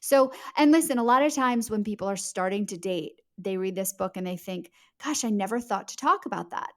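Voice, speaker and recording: A woman's voice: medium pitch, lively intonation, a little fast. A woman speaking as a guest author, explaining in a conversational interview style, fluent and emphatic, addressing the listener directly.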